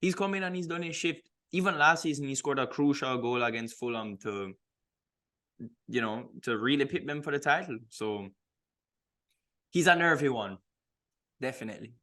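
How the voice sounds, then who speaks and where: Guy on a football podcast, casual slangy chat, really leans on his words, mid pitched voice. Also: normal speed, long pauses, pushed and bright. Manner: emphatic, slangy, repeats words for stress. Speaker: podcast co-host.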